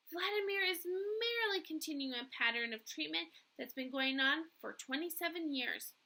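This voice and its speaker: A woman narrates a storybook in a high, bright voice. She reads expressively, as a teacher does when reading aloud to students.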